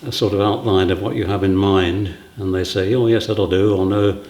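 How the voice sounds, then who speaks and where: Low-voiced man in an echoey room. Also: fairly even intonation, normal speed, a little mellow. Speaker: theatre set designer. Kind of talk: informal interview explanation.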